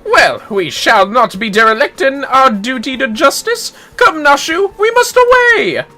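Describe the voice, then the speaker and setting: A woman's voice: sits high, big pitch swings, measured pace, pushed and bright, soft background music, heavily acted. A woman voicing a pompous, chivalrous amateur detective with grandiose, archaic, florid speech. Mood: indignant, heroic.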